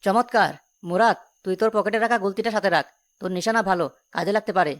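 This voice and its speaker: Woman as a resolute young village leader, fast-talking. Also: medium pitch, lightly acted. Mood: urgent, commanding. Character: resolute young village man leading his friends. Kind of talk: cartoon story dialogue.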